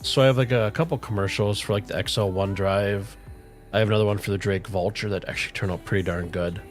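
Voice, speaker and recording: A middle-aged man chatting casually with viewers on a game livestream, relaxed and rambling, with a soothing voice. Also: fairly low pitch, fast talker. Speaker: streamer. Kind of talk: casual livestream chat while gaming. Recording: faint music playing underneath.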